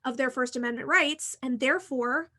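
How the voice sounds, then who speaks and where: A woman's voice: sits high, moderate pace, sharp tone. An American woman hosting a casual talk, explaining her opinions frankly and emphatically in a discursive way. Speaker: podcast host and university teacher.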